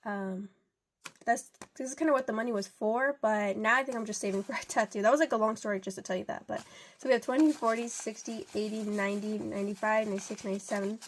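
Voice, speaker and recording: A woman's voice: mid-range voice, steady tone, fast talker, a little hiss or hum. A young woman with a congested voice from a cold, chatting in a rambling way while counting out cash for her budget. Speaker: budgeting vlogger.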